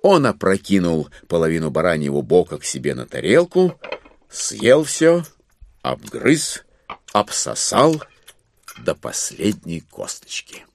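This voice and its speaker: A man narrating a dramatized literary classic in an expressive, wry storytelling manner. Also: sits low, unhurried, vocal fry.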